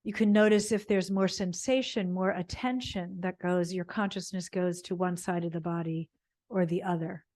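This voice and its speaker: A woman teaching gentle yoga, leading an unscripted spiritual body scan in a meandering, halting way as she searches for words. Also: fairly low pitch, fairly even intonation.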